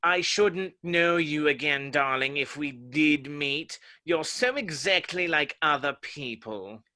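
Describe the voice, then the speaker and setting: A man's voice: on the high side, slow, bright, forceful tone, slight background noise. A man playing a haughty, touchy, pedantic egg character in a dramatic reading of a children's classic. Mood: pedantic, dismissive.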